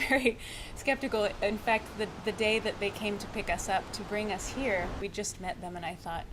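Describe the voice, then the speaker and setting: A woman's voice: mid-range voice, a little hiss or hum, rough recording. A woman speaking candidly about a spiritual retreat, chatty and self-deprecating with easy laughs. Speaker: health educator. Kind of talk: candid testimonial.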